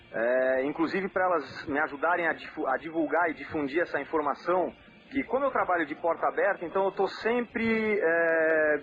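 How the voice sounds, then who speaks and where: A man from southern Brazil who coaches a national team, answering interview questions in a measured way, with frequent fillers and restarts. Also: high voice, fairly bright tone. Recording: muffled lo-fi audio with faint noise.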